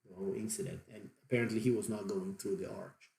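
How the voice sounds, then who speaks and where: A man's voice: deep voice, fairly even intonation, quick pace, vocal fry, dark tone, echoey room. A Brazilian man in his forties explaining at length with many fillers and restarts, in a Brazilian accent. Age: 40s. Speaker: diving vlogger. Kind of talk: unscripted explanation.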